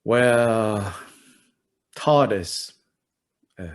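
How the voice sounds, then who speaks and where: A man in his fifties from Malaysia with a Malaysian English accent, teaching and answering questions in a halting way, with many fillers and dry self-deprecating humor. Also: fairly low pitch, clean tone. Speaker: dharma teacher. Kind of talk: informal teaching and Q&A.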